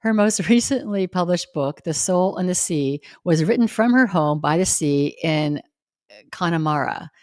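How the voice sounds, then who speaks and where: A woman's voice: lowish voice, lively intonation, measured pace, a little mellow, no room sound, studio quality. A woman podcast host introducing a guest and asking interview questions.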